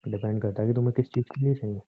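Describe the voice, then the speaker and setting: A man's voice: lowish voice, steady tone, very fast, close to an everyday voice. A man acting as a bitter, controlling young husband in a conversational audio drama, sarcastic and confrontational. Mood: firm, confrontational. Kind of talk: acted Hindi relationship audio drama, conversational. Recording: thin, muffled phone-like lo-fi audio.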